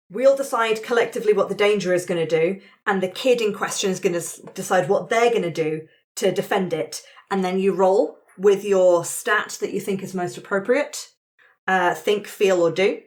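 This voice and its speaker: A woman explaining game rules conversationally as facilitator, checking in with her group of players. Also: mid-range voice.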